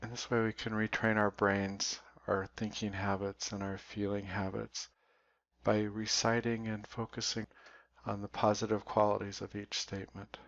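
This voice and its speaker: A man leading a guided meditation in a slow, deliberate way, leaving long pauses. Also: lowish voice, completely flat delivery, vocal fry, a bit bright. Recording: dull, roomy recording.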